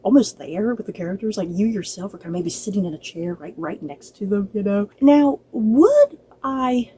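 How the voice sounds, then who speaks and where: A woman's voice: mid-range voice, big pitch swings, moderate pace, warm tone, breathy edge. A woman giving a casual, chatty spoken review, talking directly to her listeners in a conversational way. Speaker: book and film reviewer.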